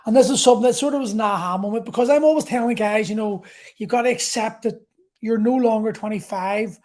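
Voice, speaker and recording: A man in his forties with a soft, mellow tone hosts a casual solo podcast. He talks like a coach sharing his own experiences, candid and loosely rambling, with salty language. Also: high voice, fast. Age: 40s. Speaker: podcast host and martial arts coach. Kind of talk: casual solo talk about personal experience.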